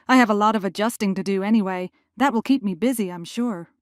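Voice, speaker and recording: A woman acting in an audio drama as a gentle, anxious mother who is grieving. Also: medium pitch, fast talker, no room sound, clean studio sound, natural voice. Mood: warm, wry. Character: gentle grieving mother, returned after twenty years, anxious.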